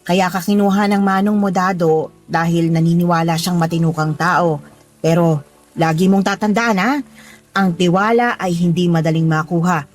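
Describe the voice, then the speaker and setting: An actress playing a kindly married boss in a Filipino radio drama, giving firm, nurturing advice in a Filipino accent. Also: female voice, fairly low pitch, steady tone, normal speed, lightly acted. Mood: earnest, firm. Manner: advising, nurturing, firm reminders. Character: kindly, motherly married female boss teaching street-food vending. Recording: music playing behind the voice.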